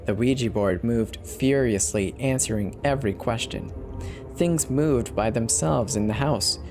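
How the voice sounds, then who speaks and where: A man's voice: medium pitch, unhurried. A man narrating a horror story as a dramatic scripted reading. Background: background music.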